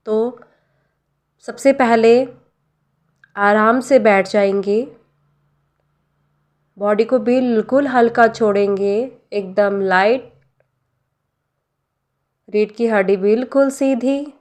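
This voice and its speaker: An Indian woman leading a guided meditation and prayer, speaking gently and deliberately with pauses and repeated phrases. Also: fairly high pitch. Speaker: guided meditation leader, life coach.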